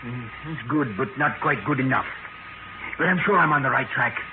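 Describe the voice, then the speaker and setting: An actor playing an obsessive, insect-hating professor in a 1940s radio drama, speaking with hesitant, muttering intensity. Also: male voice, medium pitch, normal speed, lightly acted. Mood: intent. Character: obsessive professor inventing insecticide, married, paranoid about insects. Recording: scratchy 1940s radio, narrow band, music.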